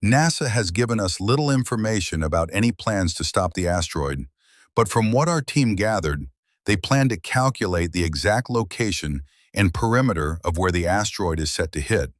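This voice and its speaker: A man's voice: low-pitched, dry recording, studio quality. A man playing a television news anchor in an audio drama, with a formal newsreader delivery. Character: male TV news anchor, composed, easily alarmed. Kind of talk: scripted evening news read.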